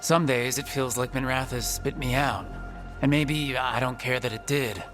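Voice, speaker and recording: Earnest male game hero, actor's performance, mid-pitched, measured, music clearly behind. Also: steady tone, lightly acted. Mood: conversational. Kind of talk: video game dialogue.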